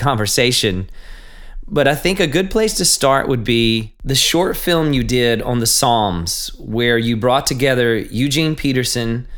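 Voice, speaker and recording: A man hosting a podcast, reading a measured, earnest introduction and then chatting with his guest. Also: medium pitch, a bit bright, clean tone. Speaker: podcast host. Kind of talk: scripted intro then interview chat.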